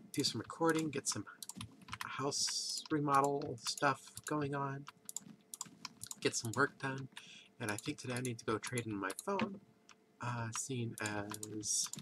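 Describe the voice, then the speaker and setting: A man with a congested, stuffy voice, just woken up and casually rambling through gameplay commentary from his computer at home. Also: medium pitch. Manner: rambling, thinks aloud with pauses. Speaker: let's play host. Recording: background noise with keyboard clicks.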